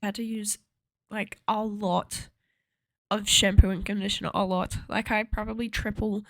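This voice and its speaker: Croaky, gravelly young woman. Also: mid-range voice, no room sound. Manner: rambling, chatty, laughs often. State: a little croaky. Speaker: cosplay vlogger. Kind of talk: casual chat to camera.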